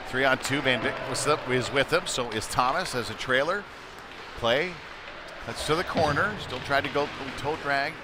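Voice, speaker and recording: A man doing live play-by-play commentary of an ice hockey game in a folksy, informal way. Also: medium pitch. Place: indoor ice rink, live stream. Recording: loud rink noise behind the voice. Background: loud arena ambience, puck and board slams.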